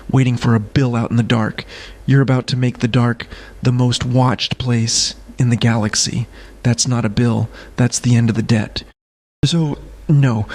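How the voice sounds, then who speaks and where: A man playing a guarded, weary smuggler in an audio drama, speaking in a grave, deliberate way. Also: medium pitch, darkish tone, lightly acted. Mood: grim resolve. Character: guarded, weary male smuggler carrying a dangerous secret.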